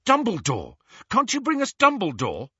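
A man's voice: mid-range voice, wildly swooping pitch, unhurried, sharp tone, husky, no room sound, dull audio, pitched above the performer's own voice, full character voice. A man voicing an urgent, impatient teenage boy from a storybook, with a direct and insistent manner. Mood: excited, pleading.

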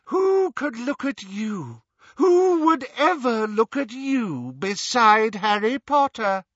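Casting: evil phantom girl for a fantasy audiobook, done by a man, high-pitched, crooning, jeering, stretching words with contempt. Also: wildly swooping pitch, drawn-out words, sharp tone, no room sound, muffled recording, full character voice. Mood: scornful jeering. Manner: scornful, mocking. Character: evil conjured double of a teenage girl, terrible, jeering.

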